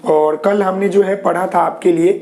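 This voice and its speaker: A man's voice: high voice, fairly even intonation, moderate pace, darkish tone. A man from Bihar with a Bihari Hindi accent and a sore throat, teaching a live online class, with many fillers and reassuring asides to viewers. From Bihar, India. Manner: repetitive fillers, frequent "ji" and "okay"; reassuring, tells viewers not to worry.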